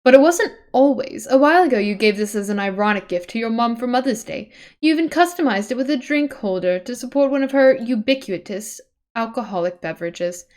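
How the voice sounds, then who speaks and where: A woman's voice: fairly high pitch, animated, fairly quick, darkish tone. A woman streamer reading a webcomic aloud, mixing dramatic narration with casual, chatty asides.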